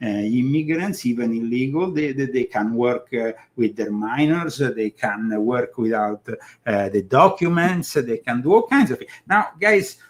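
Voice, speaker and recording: A man from Italy with an Italian accent, hosting a political commentary show, speaking emphatically but halting often with fillers and restarts. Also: medium pitch, expressive. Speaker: show host.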